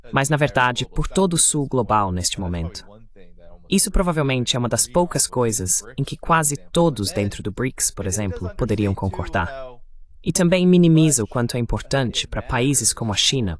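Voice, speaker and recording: A man hosting a dubbed political interview, laying out his view in a measured, explanatory way. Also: mid-range voice. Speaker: interview host. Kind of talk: political commentary, dubbed voice-over.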